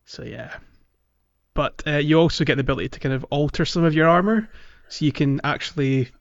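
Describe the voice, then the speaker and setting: A man's voice: a little high, brisk, muffled recording. A man hosting a casual gaming podcast, explaining things in a hesitant, trailing way. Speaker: podcast host.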